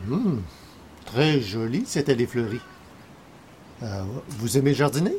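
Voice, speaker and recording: A man's voice: mid-range voice, big pitch swings, measured pace, a little mellow, slight background noise. A man playing a polite but grumbling everyman with a Quebec French accent, colloquial and hesitant, in radio comedy style. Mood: curious, polite. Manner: hesitant, colloquial, sardonic asides. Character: everyman newspaper columnist, polite but wryly grumbling guest. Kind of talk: old-time radio comedy acting.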